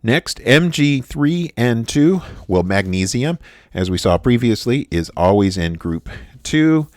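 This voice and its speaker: A chemistry instructor from the United States giving a recorded lecture, halting and full of "uh" fillers and restarts. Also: male voice, medium pitch, very animated, dry recording, clean studio sound.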